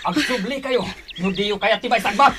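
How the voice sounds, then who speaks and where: Casting: threatening Ilocano gunman, high, sharp, taunting radio drama villain. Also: normal speed, slight background noise. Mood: menacing, mocking.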